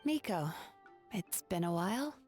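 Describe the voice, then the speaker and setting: A woman voicing a composed, gentle young therapist in a video game, measured, polite and a little wry. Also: fairly low pitch, lively intonation, soft background music. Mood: wry apology.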